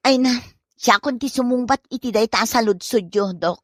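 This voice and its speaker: A woman's voice: fairly high pitch, moderate pace, dry recording, studio quality, close to an everyday voice. A woman with an Ilocano accent playing a nagging, exasperated wife in a village comedy drama. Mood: complaining.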